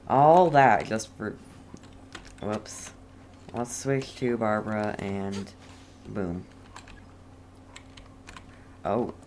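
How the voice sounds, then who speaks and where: Very low-voiced woman, slow gameplay commentary. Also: darkish tone, a little hiss or hum. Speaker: gameplay streamer. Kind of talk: casual game playthrough commentary, reading dialogue aloud.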